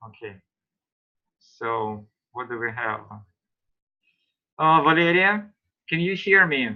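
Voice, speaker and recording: A man teaching English online, asking students slow, patient questions and explaining grammar. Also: a little high, animated, lo-fi sound.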